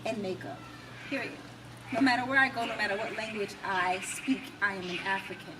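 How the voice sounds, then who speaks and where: American woman, interview guest, mid-pitched, emphatic, reflective, deliberate phrasing, off-mic with clear background noise. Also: distant mic. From United States. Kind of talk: conversational personal storytelling.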